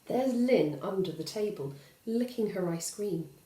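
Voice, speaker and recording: Animated woman storyteller in an echoey room. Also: medium pitch, measured pace. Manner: expressive, addressing young children. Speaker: storyteller and host. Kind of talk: children's bedtime story reading.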